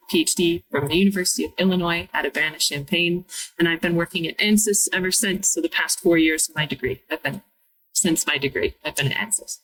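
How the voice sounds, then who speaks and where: A woman in her thirties, from Minnesota, explains technical work in a measured, clear way. Her voice sits a little low for a woman. She sounds slightly distant from the microphone. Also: a bit bright. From Minnesota, United States. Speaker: guest, materials scientist. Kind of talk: interview answers.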